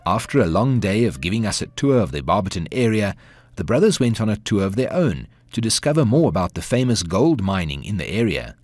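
A man narrating a television documentary in a measured, scripted style. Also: on the low side, sing-song, a little mellow, no echo, soft background music. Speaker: program narrator.

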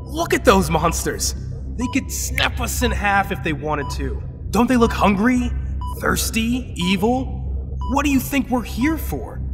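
An actor in an audio drama playing a frantic, cynical survivor who rants sarcastically to save himself. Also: male voice, sits high, animated, a little slow. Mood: panicked, sarcastic. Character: panicky, cynical, self-preserving male survivor. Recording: echoing hall, loud music underneath.

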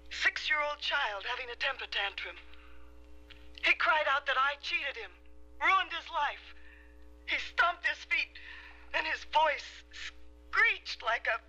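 A woman's voice: high-pitched, animated, unhurried, long pauses, bright, forceful tone, heavily acted, low-quality recording. An actress playing a distraught woman who claims to be a murdered wife reborn, in an intense, confessional, dramatic screen style. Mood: haunted, anguished. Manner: intense, emotional, confessional delivery. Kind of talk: dramatic film or television acting.

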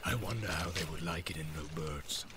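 A man voicing a sly, evil orc who speaks softly with an insinuating sneer in a fantasy audiobook. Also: extremely low pitch, moderate pace, pitched below the performer's own voice. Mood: sneering, scornful. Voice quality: soft, hissing, gravelly.

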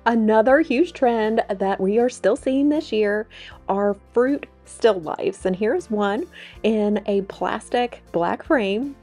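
A woman's voice: medium pitch, takes it slowly, warm tone. A woman hosting a home decor channel, narrating a shop-with-me video in an upbeat, gushing voice-over. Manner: upbeat, gushing product walkthrough. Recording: voice-over with faint background music.

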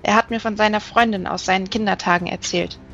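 A woman's voice: medium pitch, steady tone, fast talker, vocal fry, fairly bright tone, soft background music. A woman reading the part of a defensive grown daughter in a storybook, exasperated and insistent. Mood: indignant. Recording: slightly dull recording.

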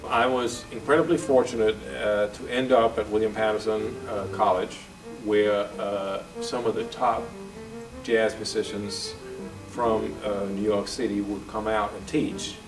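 A man in his forties from Denmark with a Danish accent, answering interview questions in a relaxed, thoughtful way with filler pauses. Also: on the low side, recorded from a distance, over music, poor audio. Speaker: guest jazz violinist. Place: office room, indoors.

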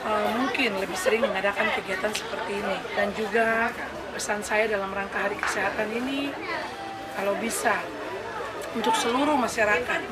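A woman official gives a hesitant press statement, with many filler sounds. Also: mid-range voice. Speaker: regional government official. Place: outdoor tent at a crowded health event. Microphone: handheld phones held up by reporters. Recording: distant mic, loud crowd and noise.

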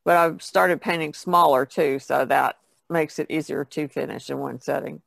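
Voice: medium pitch, steady tone, moderate pace, creaky, fairly bright tone, dry recording. A guest painter chatting casually on a podcast. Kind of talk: casual chat about painting.

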